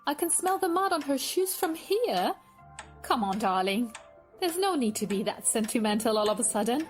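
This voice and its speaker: Woman acting a haughty, high-voiced first lady. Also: sing-song, normal speed, faint music behind. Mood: haughty, mocking. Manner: mocking, haughty. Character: scornful, cynical wife of a president. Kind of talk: audio drama acting.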